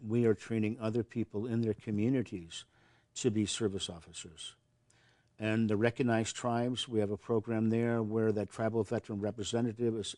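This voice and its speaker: A man's voice: lowish voice, mellow, dry recording. A man in his sixties from Montana, a veterans service officer answering interview questions earnestly, often repeating words as he starts a thought.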